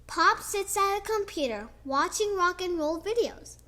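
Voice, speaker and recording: Slow, high-voiced girl reading aloud. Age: child. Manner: slow, expressive reading with chatty asides. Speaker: child storyteller. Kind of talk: reading a picture book aloud.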